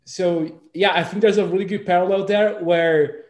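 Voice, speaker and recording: A man with a Brazilian accent speaks in a casual group discussion, stopping and restarting often and filling gaps with "uh" and "like". His voice sits a little high for a man. The room around him is echoey. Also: steady tone, darkish tone. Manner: halting, many fillers and restarts. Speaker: discussion participant.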